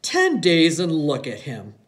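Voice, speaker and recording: Audiobook navy dad played by the narrator: loud, hearty, authoritative, lively pitch. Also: measured pace. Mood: hearty, playful. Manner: big-voiced, hearty, authoritative. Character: commanding, jovial naval officer father.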